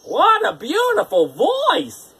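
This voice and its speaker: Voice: very high voice, huge pitch swings, a bit bright, voice pushed up, heavily acted. Gallant young prince, as a storyteller plays him. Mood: smitten. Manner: declamatory, drawn-out calls. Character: gallant young fairytale prince, earnest and smitten.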